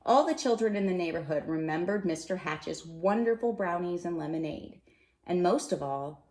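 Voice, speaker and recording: A woman reading a children's storybook aloud with expressive narration, recorded in an echoey room. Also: lowish voice, normal speed. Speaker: storybook reader.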